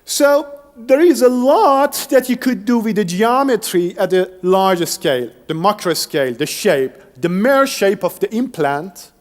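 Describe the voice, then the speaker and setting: A man's voice: high-pitched, measured pace. A male engineer who grew up speaking Persian, giving an explanatory academic lecture with occasional restarts. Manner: explanatory, steady, some restarts. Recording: long hall reverb, faint music.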